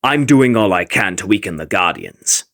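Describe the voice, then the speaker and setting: Deep, gravelly villain overlord. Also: male voice, lively intonation, normal speed, fairly bright tone, no echo, clean studio sound. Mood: scheming, sinister. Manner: theatrical, sinister, condescending. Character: scheming, gloating otherworldly villain lord. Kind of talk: comic fandub voice acting.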